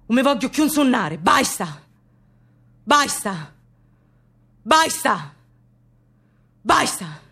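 A woman's voice: on the high side, sing-song, very slow, vocal fry, long pauses, pushed and bright, breathy edge, exaggerated voice. An actress playing a lone, anguished working woman with a Sicilian accent, in an incantatory poetic radio monologue. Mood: weary, desperate. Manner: incantatory, repeating short phrases.

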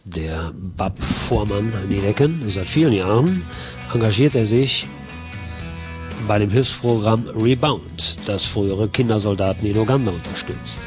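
A male radio host who presents songs and gives background facts in an informative way. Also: on the low side. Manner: informative, announcing tracks. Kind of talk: radio music show presenting.

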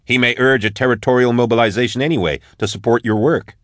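A tough female marshal who pushes back hard, as a man voices her. Her voice sits above his own and is loud and forceful. The recording is dry and close. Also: medium pitch, steady tone, fast talker, no room sound, voice pushed up, natural voice. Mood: defiant. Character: female marshal, blunt and defiant.

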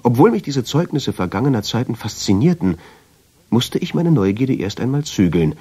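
A man's voice: mid-range voice, very animated, moderate pace, mellow, husky. A man in a German radio drama playing a brooding, evasive husband obsessed with a painting. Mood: reflective. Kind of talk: German radio drama acting and first-person narration.

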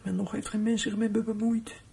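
A man's voice: high-pitched, flat delivery, a little fast, gravelly, mellow, slightly rough, exaggerated voice. A young man playing a troubled, resentful teenage runaway in a radio drama, muttering his thoughts and flaring up in indignation. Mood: tired, lonely. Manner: muttering to himself, bursts of indignation. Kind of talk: radio drama acting with inner monologue.

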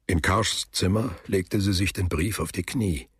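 A man narrating a literary radio drama as a sober, detached storyteller, with a deep and very husky voice. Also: measured pace, dry recording, clean studio sound, full character voice.

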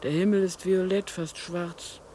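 A woman's voice: fairly low pitch, steady tone, a little slow, dark tone, slight background noise, lightly acted. An actress playing a placid, fatalistic, hard-of-hearing mother in a radio drama, musing and soothing in a repetitive way. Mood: musing, vague.

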